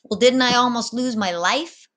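A woman's voice: fairly high pitch, moderate pace, a bit bright, voice pushed up, close to an everyday voice. A woman voicing an anxious, bewildered young cabin boy in a storybook read-aloud, plain and uncertain. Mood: reluctant.

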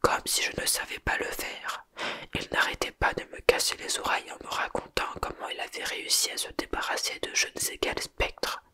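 A reader whispering the lines of a gruff, grumbling male half-giant groundskeeper in a storybook. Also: moderate pace, pushed and bright, natural voice. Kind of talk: ASMR whispered storybook reading.

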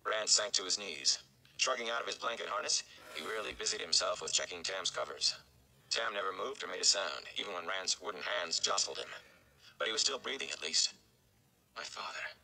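Male reader, thirties, dramatic storybook narration: low pitch, gravelly creak, forceful delivery. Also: animated, brisk, slight background noise, rough recording. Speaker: read-along reader. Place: indoor room at home.

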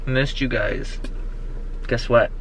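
A man's voice: medium pitch, fairly even intonation, takes it slowly, a little hiss or hum, poor audio. A man in his thirties chatting to a handheld camera in a parked car, upbeat and talkative as a family vlogger. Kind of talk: casual family vlog. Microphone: phone at arm's length.

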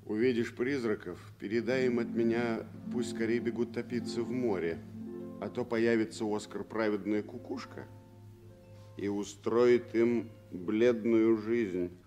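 A man's voice: lowish voice, steady tone, measured pace, over music, lightly acted. A man playing a good-humoured, protective vagabond with a folksy, mock-grand manner in a 1960s radio play. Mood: gruff joking.

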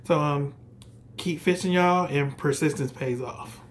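A man in his thirties, a fishing vlogger, talking to viewers in a relaxed, easygoing way, close to the camera in a small room. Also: fairly high pitch, a little slow, a little hiss or hum. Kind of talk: casual vlog talk. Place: indoors, small room by a wall.

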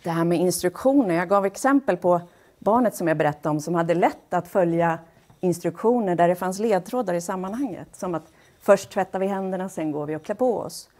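A woman giving an explanatory public lecture in a measured, pausing and somewhat hesitant way. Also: lowish voice, dark tone. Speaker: lecturer, speech-language pathologist. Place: wood-panelled lecture hall, indoors. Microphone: headset.

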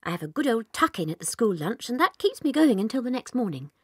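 An actress playing a gentle, kindly young schoolteacher with an English accent in a dramatized children's audiobook. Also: female voice, on the high side, big pitch swings, fast, slightly rough, dry recording, studio quality. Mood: kindly, matter-of-fact.

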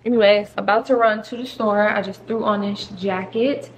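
A woman's voice: medium pitch, steady tone, moderate pace, a little hiss or hum, rough recording. A young woman in her twenties chatting casually and playfully in her apartment kitchen as she films a vlog on a handheld phone. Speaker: vlogger.

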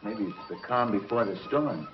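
An actor playing a steady, decisive young space hero in a 1950s TV serial, with a plain, earnest delivery. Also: male voice, fairly low pitch, normal speed, over loud music, natural voice. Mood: grave. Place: studio control-room set. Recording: dull, muffled 1950s TV soundtrack.

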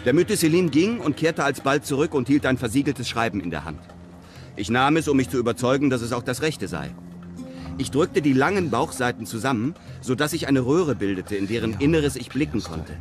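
A man playing a self-assured adventurer who recounts his exploits in measured, literary narration for a radio play. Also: mid-range voice, animated, music in the background, close to an everyday voice. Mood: composed. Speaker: first-person narrator. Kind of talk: dramatized scripted narration.

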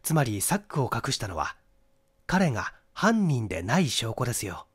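A man reads as an assured young amateur detective in a mystery audiobook. The voice sits at medium pitch, explaining deliberately and with emphasis. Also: big pitch swings, slightly rough.

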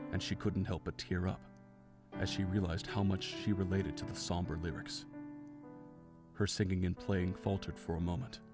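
A man with a low voice narrates a scripted story. Faint music plays behind his reading.

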